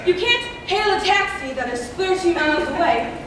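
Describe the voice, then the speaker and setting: A woman's voice: extremely high pitch, expressive, moderate pace. A female student actor playing an eccentric, sly soothsayer with theatrical ominous airs in a live stage comedy. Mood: ominous, declamatory. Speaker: student actress in a school one-act play. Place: large hall, recorded far from the stage. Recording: very echoey distant hall recording, noisy.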